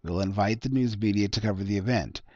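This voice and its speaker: A man's voice: on the low side, quick pace, warm tone, no echo, close to an everyday voice. A man voicing a bland, formal official in a story reading, with a procedural manner. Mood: businesslike. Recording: dull lo-fi close recording.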